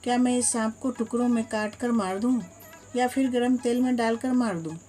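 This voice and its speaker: A woman's voice: fairly high pitch, normal speed, mellow, faint music behind, close to an everyday voice. A woman voicing an indignant male hunter who seeks punishment in a storybook tale. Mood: accusing. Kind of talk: storybook narration.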